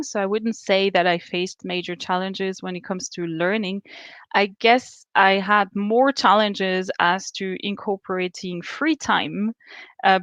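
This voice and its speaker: Woman in her thirties from Austria, thoughtful guest on a video call, smooth and resonant voice, dry close sound. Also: medium pitch, fairly bright tone, dry recording, muffled recording. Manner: thoughtful, many fillers and restarts. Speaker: student guest. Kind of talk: casual interview answers.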